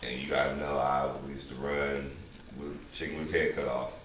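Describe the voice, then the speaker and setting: Very deep-voiced man in an echoey room. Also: flat delivery, normal speed, fairly bright tone. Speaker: team leader, producer. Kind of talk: casual speech to his team. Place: indoor room at a group table read. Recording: very echoey room, far mic, noisy.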